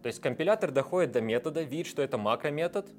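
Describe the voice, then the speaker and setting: A man's voice: fairly high pitch, lively intonation, racing, soft background music. A man guest in a casual interview, explaining technical ideas at length with frequent hesitation sounds. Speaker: guest, software developer.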